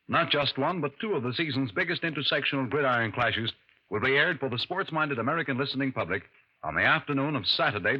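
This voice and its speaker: Formal 1950s radio announcer man. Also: mid-range voice. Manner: crisp, formal, promotional. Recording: muffled 1950s radio broadcast with music.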